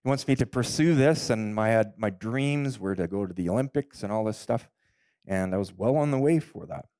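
A man, a pastor telling his own story in a sermon, building to loud, emphatic peaks. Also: on the low side, animated, moderate pace, clean tone, dry recording. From Canada. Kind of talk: sermon with personal testimony. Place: church stage, indoors. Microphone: headset mic.

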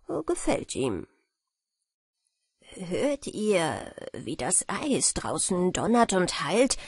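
A woman reading the part of an old grandmother who tells eerie lake legends to children in a hushed, cautioning way. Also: mid-range voice, breathy edge, dry recording, clean studio sound, exaggerated voice. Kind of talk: fairy-tale storytelling.

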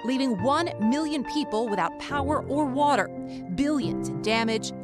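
Female narrator, higher voice, steady documentary read over a loud music bed. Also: normal speed. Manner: steady, informative news-style read.

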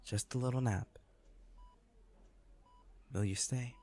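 A man playing an injured, devoted boyfriend in an intimate audio roleplay, speaking tenderly, earnestly and haltingly. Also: medium pitch, steady tone, dark tone. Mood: drowsy, affectionate. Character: injured, devoted boyfriend proposing from a hospital bed.

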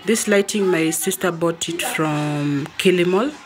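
A woman from Kenya with a Kenyan accent narrates a casual house tour in an unhurried, repetitive way, as if pointing things out. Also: fairly low pitch. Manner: unhurried, repeats phrases while pointing things out. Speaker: vlogger. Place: indoors, living room of a family home. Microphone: handheld phone or camera held by the speaker.

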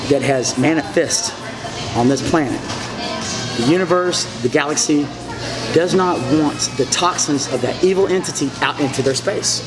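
Interview guest, man in his 40s, slightly high voice, emphatic and repetitive, restaurant booth with music playing. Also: normal speed, poor audio. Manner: emphatic, repetitive, gestures broadly while talking. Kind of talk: rambling monologue, telling his story.

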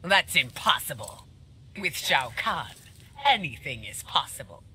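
A woman's voice: sits low, big pitch swings, unhurried, a little hiss or hum, heavily acted. A woman playing a cold, scheming sorceress queen in a fighting video game, haughty and commanding. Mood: regal, suspicious. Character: imperious, scheming queen and sorceress, coldly regal. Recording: loud game ambience behind.

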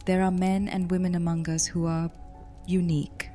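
Woman playing a mellow, composed vampire commander. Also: fairly low pitch, little pitch movement, normal speed, soft background music, lightly acted. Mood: composed, formal. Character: composed female vampire commander of Roman soldiers. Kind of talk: fantasy roleplay audio drama.

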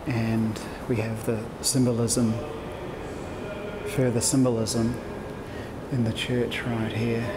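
A man giving an explanatory commentary on location, speaking in a halting, deliberate way into a handheld camera as he points things out. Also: mid-range voice, flat delivery. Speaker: vlogger narrating his own walking video. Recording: noisy handheld recording, city traffic and ambience. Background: street and ambient noise.

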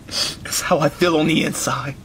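A man's voice: very high-pitched, expressive, a little slow, fairly bright tone, reverberant, slight background noise, heavily acted, low-quality recording. A man voicing a despairing, melodramatic monster dad in a comedy skit, whining and wailing like an overgrown child. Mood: gloomy, hopeless. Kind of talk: comedy skit character acting.

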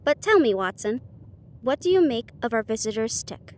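A woman voicing a sharp, confident male detective with a precise, formal, condescending manner in an audiobook. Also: fairly high pitch, very animated, moderate pace. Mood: inquisitive.

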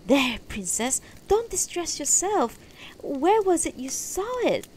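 A woman voicing a gentle, protective elderly husband in a fantasy story, earnest and soothing. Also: high voice, sing-song, takes it slowly, a little hiss or hum, voice pushed up, heavily acted. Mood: concerned.

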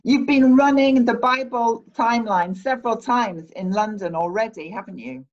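A woman interviewing a guest on a video call, gently prompting with questions. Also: a little high, lively intonation, normal speed, dull audio.

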